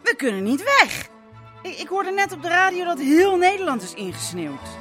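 An actress playing a lively, direct younger woman in a naturalistic audio drama. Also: very high-pitched, moderate pace, music in the background. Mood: alarmed. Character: lively, practical younger woman snowed in with family.

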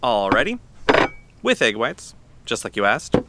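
An actor playing a friendly, chatty small-town cafe owner with a folksy, easygoing manner in an audio drama. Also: male voice, medium pitch, sing-song, measured pace, very noisy. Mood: friendly, casual. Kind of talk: naturalistic audio drama acting.

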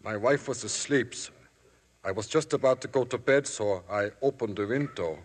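A man's voice: mid-range voice, normal speed, breathy edge, close to an everyday voice. A man playing a humble, deferential witness. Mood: earnest. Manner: deferential, always adds "sir". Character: humble, deferential male rectory caretaker witness. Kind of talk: 1950s radio drama acting. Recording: vintage radio broadcast, long hall reverb.

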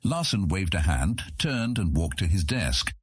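A man's voice: low-pitched, big pitch swings, a little slow, husky, dry recording, studio quality. A man reading scripted dramatic narration for a fantasy audiobook.

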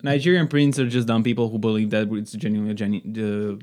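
A man's voice: fairly low pitch, fairly even intonation, fast talker, a little mellow. A man in a casual podcast chat, explaining schemes step by step in a matter-of-fact way.

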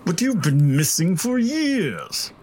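A man's voice: high voice, big pitch swings, slow, a little mellow, full character voice. A man acting in an audio drama as a stuffy, imperious, easily alarmed villain with a haughty, indignant manner.

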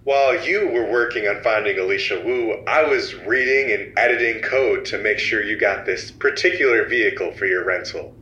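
Smug low-voiced hacker bad guy, bright edgy tone, echoey room. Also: normal speed, few pauses, muffled recording. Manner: calm, smug, threatening. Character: menacing male hacker villain, cool and smug. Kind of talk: audio drama acting.

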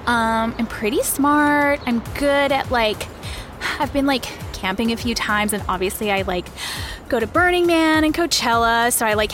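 A woman playing a bubbly, self-regarding young social media influencer in an audio drama, gushing in valley-girl style. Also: fairly high pitch. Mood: self-assured, chirpy. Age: young adult. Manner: gushing, filler-heavy valley-girl talk. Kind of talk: reality show confessional in audio drama. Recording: loud music bed behind voice.

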